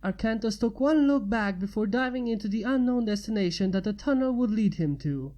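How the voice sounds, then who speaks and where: A woman's voice: mid-range voice, animated, brisk, mellow. A woman narrating fan fiction as a story reader.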